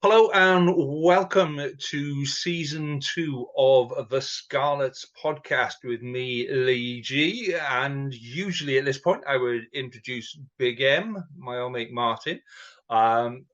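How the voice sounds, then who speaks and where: A man's voice: medium pitch, fairly bright tone, muffled recording. A man hosting a podcast in a casual, chatty way, joking at his own expense. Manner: chatty, self-mocking, laughs between points. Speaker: podcast host.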